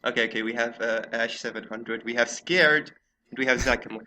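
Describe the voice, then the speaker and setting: A man's voice: mid-range voice, fairly even intonation, a little fast, noisy background. A man hosting a casual podcast chat, often playfully exasperated with his guests. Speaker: podcast host.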